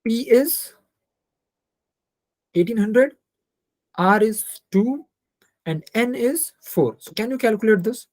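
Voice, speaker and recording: Male online maths tutor, Indian accent, relaxed mellow tone, close dry sound, code-switching, interactive step-by-step delivery. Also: high voice, slow pace, long pauses, no room sound. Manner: code-switches between Hindi and English, checks in with students often. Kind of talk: interactive online lesson, working through problems aloud.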